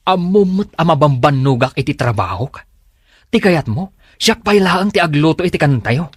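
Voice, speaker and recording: An irritable, demanding young man in a radio drama, played by an actor. He complains curtly in a highish, very animated voice with a dry, close sound. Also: normal speed, husky, dry recording. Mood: irritated. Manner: curt, complaining.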